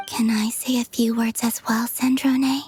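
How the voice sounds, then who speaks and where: A woman voicing a gentle, guileless best friend in a video game, soft-spoken and candid. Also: fairly high pitch.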